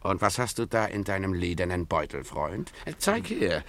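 A man playing a drunken, boastful, greedy pirate sea captain in a radio play, theatrical and mocking, in a gravelly voice. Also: sits low, big pitch swings, moderate pace. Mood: menacing. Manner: theatrical, mocking, swinging from mutters to bellows. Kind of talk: 1950s German radio play acting.

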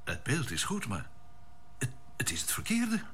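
An actor playing an earnest, blunt spaceship engineer in a science fiction radio drama, excitable under pressure, with halting, emphatic phrasing. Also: male voice, fairly high pitch, slight background noise. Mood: shaken, baffled.

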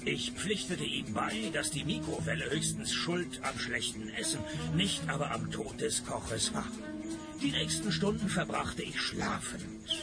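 A man playing a dry, world-weary private detective in a radio play, with a deadpan, sardonic delivery. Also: mid-range voice, unhurried, over loud music. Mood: dry, resigned. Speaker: detective and first-person narrator. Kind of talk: radio play dialogue and noir-style narration.

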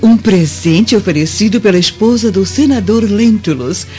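An actress plays a pious young maidservant, cheerful and loyal, in a radio drama. She speaks respectfully and earnestly at a medium pitch. The sound is dull, over clear background music. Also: normal speed, mellow, lightly acted. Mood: joyful.

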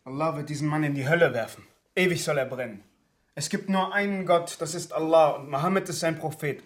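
A man playing a devout, nervous refugee in a radio crime drama, speaking earnestly in terse, halting, simple sentences. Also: on the high side, lightly acted. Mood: indignant, fervent.

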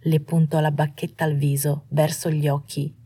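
A woman's voice: low-pitched, flat delivery, normal speed, warm tone, husky. A woman reading a scripted story as an audiobook narrator.

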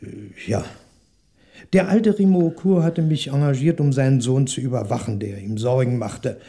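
A former private detective turned shopkeeper in a 1960s German radio crime play. His voice is husky and dark, mid-pitched, and he speaks politely but hesitantly, stalling and evading. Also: normal speed. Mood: guarded. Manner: courteous, hesitant, evasive, with stalling interjections.

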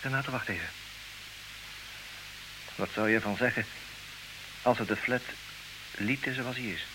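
An actor playing an intelligent, possessive, ironic husband in an old radio play, speaking earnestly in theatrical diction. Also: male voice, medium pitch, a little slow, close to an everyday voice. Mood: tentative, hopeful. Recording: hissy old radio recording, clear noise.

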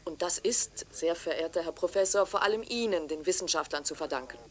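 A woman's voice: lowish voice, animated, brisk, natural voice. A woman acting a deferential, eager young television reporter in a radio drama, courteous and persuasive. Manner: courteous, persuasive, formal, sometimes hushed.

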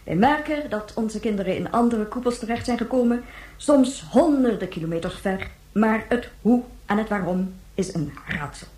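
An actress playing a grave, controlled government spokeswoman in a radio drama, speaking formally and with measured emphasis. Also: a little high, darkish tone, a little hiss or hum, close to an everyday voice. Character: grave, controlled female government official facing a press interview. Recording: roomy, echoing radio drama recording.

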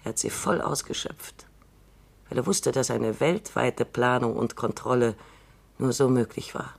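Gravelly wry old robot scientist. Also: female voice, extremely low pitch, exaggerated voice. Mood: reflective. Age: 70s. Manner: measured, reflective, drops to near whispers. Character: shrewd elderly female robot scientist, dryly wry. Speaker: retiring robot psychologist. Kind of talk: radio drama acting.

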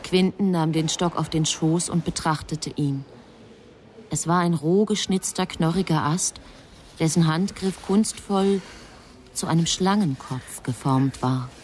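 A woman narrating a literary radio drama as a composed, measured storyteller. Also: low voice, a little mellow, a little hiss or hum. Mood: composed, reflective.

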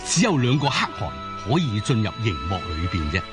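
A man's voice: on the low side, sing-song, normal speed. A man with a Cantonese accent narrating a scripted historical tale as a dramatic storyteller. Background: prominent music.

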